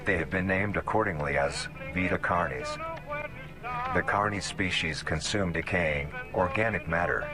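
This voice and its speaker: A man playing a solemn nature documentary narrator, reading a formal script in a measured way. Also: low-pitched, close to an everyday voice. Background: background music.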